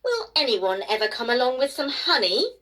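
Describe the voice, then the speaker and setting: A woman's voice: sits high, very animated, normal speed, a bit bright, voice pushed up, exaggerated voice. Woman as a sly, playful storybook monkey. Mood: scheming.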